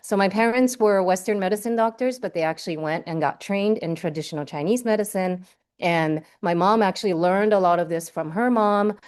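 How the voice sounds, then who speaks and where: A woman's voice: mid-range voice, fairly quick, darkish tone, clean tone. A woman who grew up in Hawaii, Japanese as her first language, giving a chatty seminar talk full of "um" and "like". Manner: chatty, many fillers like "um" and "like". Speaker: guest seminar speaker. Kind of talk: personal academic seminar talk.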